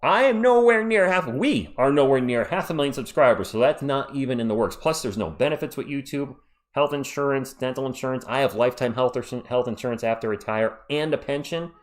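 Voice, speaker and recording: A man's voice: medium pitch, animated, a little fast. Casual man hosting a live sports stream. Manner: chatty, reads viewer comments aloud. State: voice worn out. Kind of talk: casual live stream chat reading comments.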